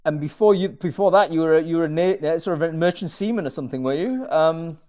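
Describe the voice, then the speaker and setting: A man's voice: fairly high pitch, quick pace, dark tone. A man hosting a casual video-call chat, talking in a rambling, self-deprecating way. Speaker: host.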